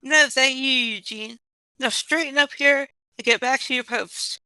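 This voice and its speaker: A woman playing a brisk, commanding, scheming inspector in an audio play. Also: sits high, sharp tone, smooth, heavily acted, low-quality recording. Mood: authoritative.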